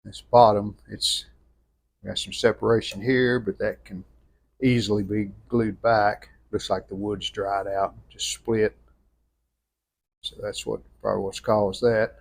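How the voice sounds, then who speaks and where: A man giving an unscripted hands-on restoration walkthrough in plainspoken, halting short phrases. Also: on the low side, little pitch movement, vocal fry. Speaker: radio restorer presenting own channel.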